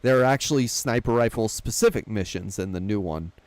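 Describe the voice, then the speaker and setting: A man's voice: on the low side, brisk, a little mellow, dry recording. A man hosting a casual film discussion, talking through plots conversationally with wry, self-deprecating asides. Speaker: podcast host.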